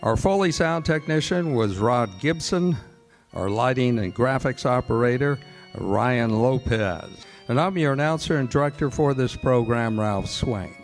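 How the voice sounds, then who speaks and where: Music plays behind a man announcing radio drama credits. His delivery is formal and scripted, in a medium-pitched voice. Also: animated, a little slow. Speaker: announcer and director.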